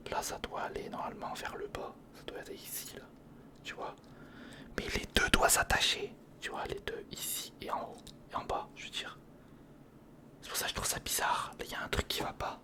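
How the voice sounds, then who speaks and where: A breathy, unvoiced whisper carries this ASMR commentary. The speaker mutters casually to themselves while working with their hands, repeating short phrases in informal slang, close to the mic. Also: fairly quick, pushed and bright. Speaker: ASMR video creator. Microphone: close-up studio condenser or handheld recorder.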